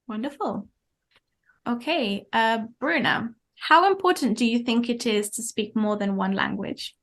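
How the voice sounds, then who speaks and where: A young woman, an English teacher, hosting and examining a mock speaking exam with clear, encouraging delivery. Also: on the high side, unhurried, a bit bright.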